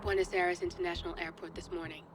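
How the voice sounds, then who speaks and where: A woman's voice: fairly low pitch, level tone, fast talker, noisy background, lightly acted. An actress playing a composed female intelligence contact in a cinematic game, briefing in a matter-of-fact, controlled way. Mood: businesslike.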